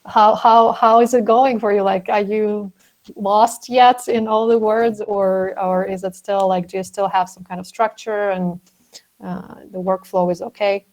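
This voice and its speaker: A woman's voice: medium pitch, steady tone, a little slow, darkish tone, low-quality recording. Laughing, upbeat woman moderating a call. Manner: bubbly, laughs often, encouraging. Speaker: roundtable moderator. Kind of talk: casual online roundtable chat. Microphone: video call.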